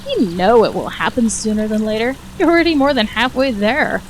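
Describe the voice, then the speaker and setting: An actress plays a ghostly woman with a fairly high voice, taunting and scornful. She coaxes and mocks in audio drama style, over faint background ambience. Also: lively intonation, fairly quick, slight background noise, lightly acted, low-quality recording. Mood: coaxing, taunting. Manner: mocking, coaxing. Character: taunting, scornful female ghost speaking inside a girl's mind.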